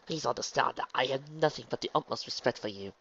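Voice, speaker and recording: A man's voice: medium pitch, quick pace, vocal fry, highs cut off, noisy background, close to an everyday voice. A man voicing a refined, theatrical female pony shopkeeper with an affected, genteel and dramatic manner. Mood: coy, playful.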